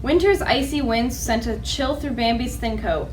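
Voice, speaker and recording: A woman reading children's storybooks aloud as a library storyteller, with an expressive delivery. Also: fairly high pitch, moderate pace, a little hiss or hum.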